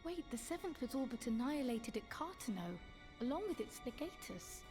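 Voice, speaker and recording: A woman playing a gentle, concerned young ally in a fantasy game, with earnest, formal speech. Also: sits high, big pitch swings, brisk, faint music behind. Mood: surprised. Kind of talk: video game cutscene voice acting.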